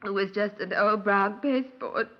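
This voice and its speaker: A woman's voice: mid-range voice, normal speed, highs cut off, natural voice. An actress playing a distraught, talkative middle-class British woman grieving her younger sister, in effusive 1950s radio drama style on an old recording. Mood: rambling, upset. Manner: effusive, rambling, melodramatic.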